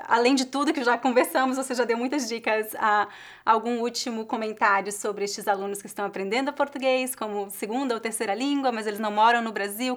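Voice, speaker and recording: A woman language teacher hosting her channel, interviewing a guest and then closing the video in a friendly, encouraging way. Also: fairly high pitch. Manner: friendly and encouraging, with frequent "né?" tags.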